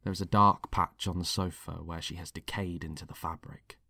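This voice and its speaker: A man's voice: deep voice, fairly even intonation, moderate pace, vocal fry, bright, forceful tone, dry recording, clean studio sound. A man reading scripted dramatic narration in the present tense. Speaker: writer and narrator.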